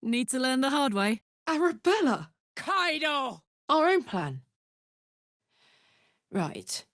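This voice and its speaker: A woman's voice: sits high, big pitch swings, slow, fairly bright tone, no room sound, studio quality, full character voice. A woman voicing a brash, hot-blooded, big-hearted warrior woman in a video game, with an earthy, blunt, sweary and emotionally open manner. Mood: brash, casual. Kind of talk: video game character voice lines.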